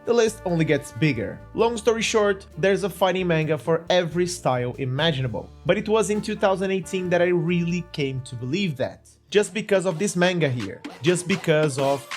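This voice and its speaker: A man's voice: high voice, animated, moderate pace, hardly any pauses. A man with a Brazilian accent narrating a scripted review with enthusiasm and playful, mock-dramatic asides. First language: Portuguese. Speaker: manga review host. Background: faint background music.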